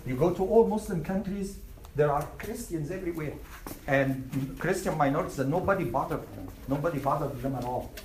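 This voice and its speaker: A man's voice: fairly high pitch, a little fast, a little mellow. A man from Egypt, teaching a class, emphatic and repeating his points. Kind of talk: classroom storytelling. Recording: echoey room, faint noise.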